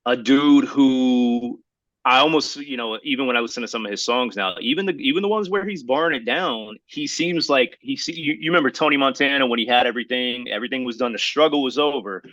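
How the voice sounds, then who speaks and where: A man co-hosting a podcast in casual, slangy, opinionated chat, talking in a halting, rambling way and circling back to qualify his points. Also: medium pitch, a bit bright, no room sound, highs cut off. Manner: halting, rambling, hedging delivery; slangy, addresses listener as bro. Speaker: podcast co-host.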